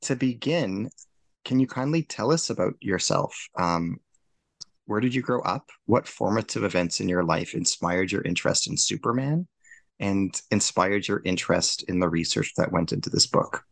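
A male podcast host with a very low, gravelly voice introduces his guest in courteous, formal wording. The recording is dry and close. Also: expressive, fairly bright tone, no room sound. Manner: courteous, measured, formal phrasing. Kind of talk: formal interview hosting.

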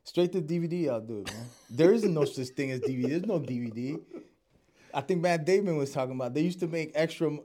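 A man, an actor, chatting as a podcast guest in a relaxed, rambling way. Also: on the high side, fast talker. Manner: laid-back, rambling, many fillers. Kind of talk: casual chat.

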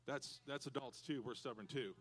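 A man preaching a lively sermon to youth and parents in a church, animated and breaking into loud cowboy shouts. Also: medium pitch, moderate pace. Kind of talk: lively hands-on sermon with props.

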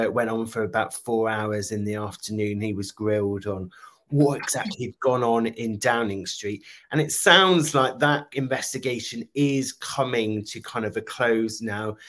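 A man co-hosting a news podcast, explaining political stories in a measured way. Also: on the low side, a bit bright. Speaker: podcast co-host, political journalist.

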